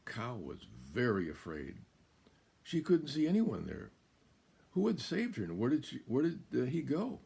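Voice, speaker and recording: An American man in his seventies reading a children's picture book aloud as a guest storyteller, in a deliberate, emphatic way. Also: mid-range voice, wildly swooping pitch, gravelly, a little mellow, breathy edge. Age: 70s. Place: small room indoors.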